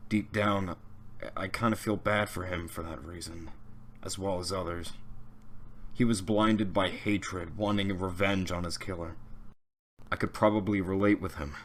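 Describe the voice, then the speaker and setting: A man plays an easygoing, kind-hearted wolf in an audio drama. He talks informally in a deep voice, with sympathy, and there is a little noise and ambience behind him. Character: casual, good-hearted male wolf, a cleared defendant.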